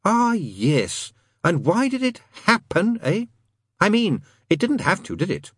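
A man's voice: sits high, huge pitch swings, slow pace, a bit bright, husky, dry recording, studio quality, voice pushed up, full character voice. A man voicing a restless, sardonic male demon in an audiobook, insistent and full of rhetorical questions. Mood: agitated, probing. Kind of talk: dramatised audiobook reading.

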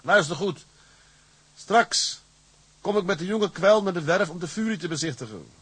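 A shrewd, plain-spoken tugboat captain in a radio play. His voice is husky and fairly high for a man, recorded close and dry. He talks bluntly, with wry defiance and command. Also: moderate pace, a bit bright, no room sound. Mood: urgent commanding. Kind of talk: Dutch radio drama acting.

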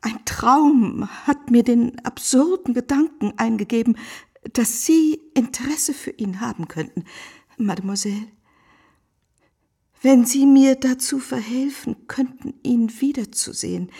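An actress playing an anguished, pious, middle-aged abandoned wife of noble rank in a radio play, formal and pleading, with a breathy voice. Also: female voice, a little high, mellow. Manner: formal, pleading, emotionally fraught. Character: anguished, pious abandoned baroness, earnest and dignified. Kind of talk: German radio play acting.

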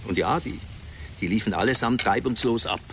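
A man's voice: fairly low pitch, brisk, slight background noise, lightly acted. A man playing a composed, formal smuggling middleman in a German radio drama, precise and matter-of-fact. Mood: firm, reassuring. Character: composed, businesslike male money-smuggling middleman.

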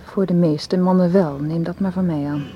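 A woman's voice: on the low side, fairly even intonation, moderate pace, highs cut off, slight background noise, close to an everyday voice. An actress in a Dutch radio play, playing an anxious, guarded woman who speaks hesitantly and earnestly. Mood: defensive. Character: anxious, guarded woman distrustful of her male suitor.

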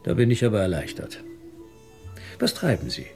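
A man's voice: on the low side, very animated, normal speed, darkish tone, soft background music. An actor playing a world-weary theatre producer who tells his story in a dry, wry, reflective radio drama style. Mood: dryly courteous. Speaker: narrator and lead actor. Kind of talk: radio drama narration and dialogue.

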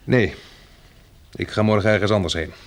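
An actor playing a brisk, purposeful husband in a Dutch radio play. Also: male voice, fairly low pitch, natural voice. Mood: worried, firm. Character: brisk, jovial but worried husband Bill.

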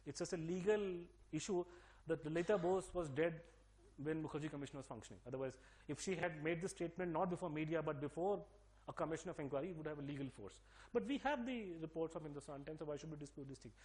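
Middle-aged Delhi-accented man, quick-fire emphatic explainer, higher-pitched voice, lots of restarts. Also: darkish tone. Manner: rapid, emphatic, many fillers and restarts. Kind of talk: interview-style explanation.

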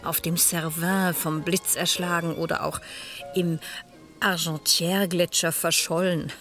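An actress in a 1970s German radio play, playing a reserved, reflective retired schoolteacher in her sixties, measured and dry. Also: on the low side, little pitch movement, a bit bright, soft background music.

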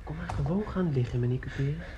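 An actor in a radio drama playing a caring but sometimes gruff man who speaks in short, plain, repeated phrases. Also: fairly high pitch, fast, lo-fi sound, noisy background, lightly acted. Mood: soothing. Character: caring man, gruff when hurried, soothing a drunk old man.

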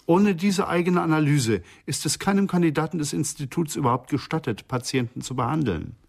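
A man's voice: fairly high pitch, a little fast, gravelly, darkish tone. An actor playing an earnest psychoanalyst in a German radio crime drama, speaking formally and precisely, with reverence for his profession. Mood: earnest, reverent.

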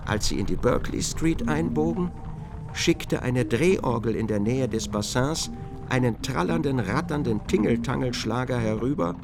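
A man with a creaky voice narrating a literary radio drama expressively, acting out the quoted speech. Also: mid-range voice, lively intonation, normal speed, over music.

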